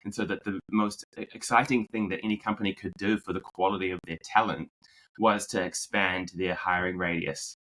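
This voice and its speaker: A man from New Zealand with a drifting New Zealand accent, a podcast guest telling his story in a relaxed way. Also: low-pitched, fairly quick, slight background noise, poor audio. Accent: New Zealand, mixed with American. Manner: relaxed storyteller, many fillers and restarts. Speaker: podcast guest, marketing executive. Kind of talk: conversational interview.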